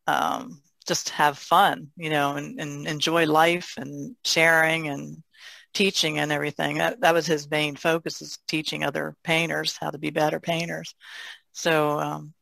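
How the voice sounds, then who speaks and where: A woman speaking as a podcast guest in casual conversation, halting and reflective. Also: deep voice, vocal fry, fairly bright tone, no echo.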